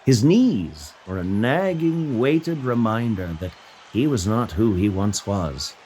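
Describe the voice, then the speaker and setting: A man's voice: fairly low pitch, animated, takes it slowly, darkish tone, a little hiss or hum, rough recording. A man narrating a scripted short story as a literary reader. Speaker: fiction reader. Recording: music bed behind voice.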